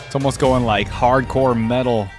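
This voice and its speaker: Low-voiced man reacting casually over music. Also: steady tone, moderate pace. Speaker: YouTube reaction host. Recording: loud music behind the voice. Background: video game theme music.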